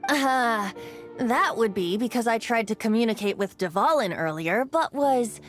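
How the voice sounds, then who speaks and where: A woman's voice: fairly high pitch, lively intonation, unhurried, soft background music. A woman voicing a whimsical boyish young bard in a video game, theatrical and coaxing, with a lyrical way of phrasing. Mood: earnest.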